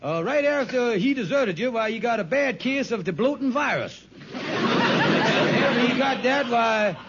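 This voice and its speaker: An actor playing a scheming, smooth-talking lodge con man in an old radio sitcom, wheedling and persuasive in folksy, ungrammatical speech. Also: very high-pitched, animated, a little slow, over a noisy crowd, very noisy. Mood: ingratiating. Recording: muffled vintage radio recording.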